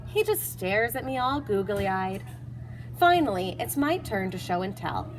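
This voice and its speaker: A woman narrating a children's picture book in an expressive, animated read-aloud manner, acting out dialogue and sounds. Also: medium pitch, unhurried, slight background noise, rough recording.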